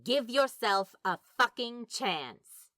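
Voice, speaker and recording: Sardonic, blunt friend for audio drama female actor: forceful bright tone, lively sarcastic drawl, grudging affection, very dry sound. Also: on the high side, takes it slowly, no room sound, heavily acted. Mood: exasperated, blunt. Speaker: character voice actor. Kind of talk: fan fiction audio drama dialogue.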